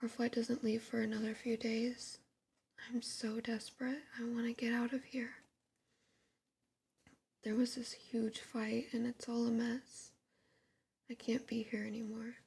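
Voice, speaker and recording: A woman whispering the lines of a distraught, frightened young woman influencer, in a breathy voice and a rushed, pleading manner. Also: on the high side, level tone, darkish tone, heavily acted. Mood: desperate.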